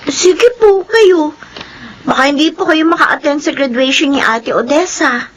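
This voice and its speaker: A woman's voice: sits high, unhurried. A Filipino woman playing a girlish, affectionate and chatty youngest daughter in a radio drama. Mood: concerned.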